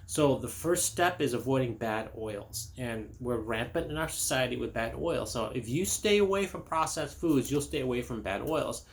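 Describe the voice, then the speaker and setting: A man's voice: mid-range voice, animated, moderate pace, few pauses. A middle-aged man from Indonesia, a chiropractor, giving a casual health talk to camera. He rambles with many fillers, restarts and personal stories.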